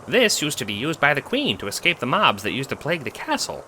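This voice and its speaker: A man puts on an excitable female creature for an audio drama. She is squeaky and fretful, pitched well up and swooping higher as she worries. There is noise in the background. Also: fast, full character voice. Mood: nervous, helpful. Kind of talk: audio drama character acting.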